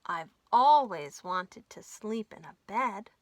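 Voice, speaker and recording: A woman's voice: medium pitch, lively intonation, slow, dry recording. A woman voicing a small, innocent male toy bear in an earnest, wondering way for a storybook. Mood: wistful wonder.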